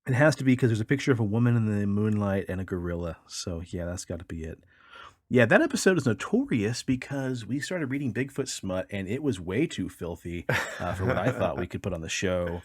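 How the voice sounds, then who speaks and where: A man's voice: lowish voice, expressive, brisk, slightly rough, no room sound, studio quality. A man co-hosting a casual comedy podcast, chatting in a profane, jokey, suggestive way. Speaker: podcast co-host.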